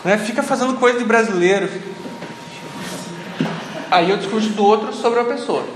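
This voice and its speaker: A man's voice: high-pitched, normal speed. A man giving a classroom lecture, thinking aloud and tagging his sentences with frequent questions to the listeners. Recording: echoey hall, noisy ambience.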